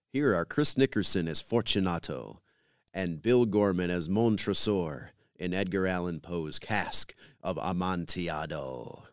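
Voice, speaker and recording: A man's voice: low voice, dry recording, clean studio sound. A man with an American accent reading scripted introductions as a podcast host.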